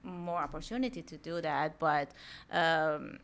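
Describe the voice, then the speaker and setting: A woman's voice: low voice, fairly even intonation, unhurried, vocal fry, a little hiss or hum. A woman from Iran with a thick Iranian accent, a native Persian speaker, chatting casually as an interview guest. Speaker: podcast guest, mortgage lender.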